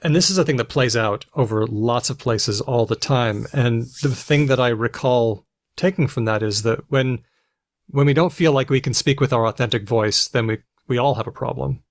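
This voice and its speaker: A man's voice: medium pitch, normal speed, dry recording, studio quality. An American man hosting a conversational podcast interview, speaking in a reflective, anecdotal way. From United States. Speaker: podcast host and linguist.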